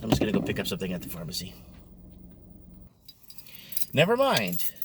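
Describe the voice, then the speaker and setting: Rambling man, distant, loud traffic behind. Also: lowish voice, wildly swooping pitch, fairly quick. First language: Portuguese. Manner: musing, loose, repeats words. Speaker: vlogger. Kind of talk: casual rambling monologue.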